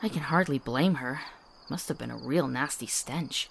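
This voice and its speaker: A woman's voice: very deep voice, moderate pace, creaky, slight background noise. A woman playing a curious, skeptical young apprentice apothecary in a visual novel, with a wry, questioning manner. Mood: sardonic.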